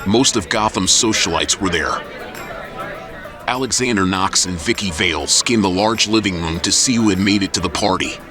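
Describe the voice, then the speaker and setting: A man narrating an audio drama in a steady storytelling manner. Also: sits low, moderate pace, a crowd in the background. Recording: music bed under narration.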